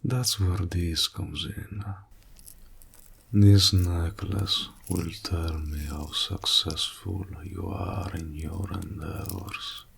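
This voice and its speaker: A man playing a sly evil warlock in a roleplay audio drama, teasing and deliberate, with a breathy, gravelly low voice. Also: fairly even intonation, fairly bright tone, full character voice. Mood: smug, satisfied. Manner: slow, deliberate, drawling, insinuating. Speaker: voice actor. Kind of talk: roleplay audio drama, intimate villain monologue.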